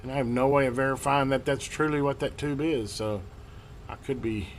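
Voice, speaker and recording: A man giving casual, unscripted workbench narration as a hobbyist, folksy and colloquial, thinking aloud with halting pauses. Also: mid-range voice. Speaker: hobbyist host restoring and testing old radios. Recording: clear background noise, amateur home recording.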